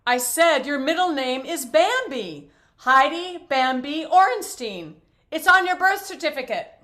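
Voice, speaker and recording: Woman playing flighty older mother, high voice, unhurried pace, chatty and intrusive, theatrical stage acting. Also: animated, bright, forceful tone, heavily acted. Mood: coy, cheerful. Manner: rambling, chirpy, theatrical trivia-telling.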